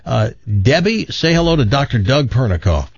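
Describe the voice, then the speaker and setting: A man's voice: mid-range voice, very animated, moderate pace, gravelly, husky, dry recording. A man hosting a call-in radio show, chatty and joking with his guest and callers. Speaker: radio host. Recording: dull dry studio sound.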